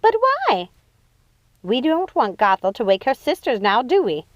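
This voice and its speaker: A woman's voice: a little high, wildly swooping pitch, unhurried, no echo, muffled recording, pitched above the performer's own voice. A woman voicing a scheming, cruel adult witch sister who bosses her sisters around and gloats. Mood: commanding. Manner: bossy, gloating, commanding.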